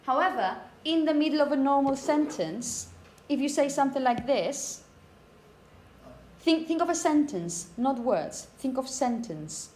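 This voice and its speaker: Higher-voiced woman teaching in an echoey room. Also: expressive, measured pace, darkish tone. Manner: explanatory, demonstrates words with emphasis, frequent check-in questions. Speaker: phonetics teacher. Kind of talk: classroom lecture to students. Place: classroom, indoors. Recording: echoey room, background noise.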